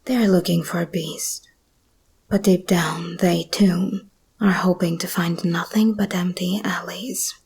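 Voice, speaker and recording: A woman voicing a seductive, menacing female monster hybrid in an ASMR roleplay, speaking in a breathy whisper with slow, deliberate, teasing delivery. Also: fairly low pitch, steady tone, darkish tone, exaggerated voice. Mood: wary, threatening.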